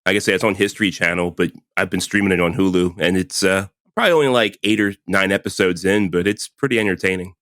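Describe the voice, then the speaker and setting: Man in his 40s, low voice, chatty podcast host, dry close sound. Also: quick pace, hardly any pauses, fairly bright tone, no room sound. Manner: chatty, laughs often, rambling with fillers like "like". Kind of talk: casual chat.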